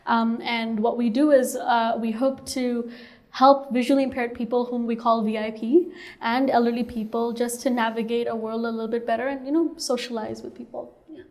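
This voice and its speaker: A woman's voice: on the high side, flat delivery, normal speed, darkish tone. Earnest young woman from Hong Kong. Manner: earnest, storytelling, frequent "like" and "you know". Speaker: startup co-founder. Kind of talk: interview answers.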